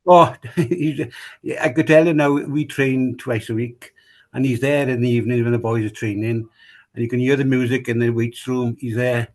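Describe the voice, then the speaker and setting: A man's voice: mid-range voice, fairly even intonation, moderate pace, a little mellow. A man with a Welsh accent, a rugby club chairman, talking casually on a podcast, rambling and repeating himself with many fillers.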